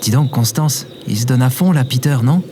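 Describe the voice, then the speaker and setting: A man's voice: mid-range voice, normal speed, dark tone, slight background noise, lightly acted. A man playing a casual, sarcastic adventurer who talks in a colloquial, grumbling way in an audio drama. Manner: colloquial, wry, hesitant.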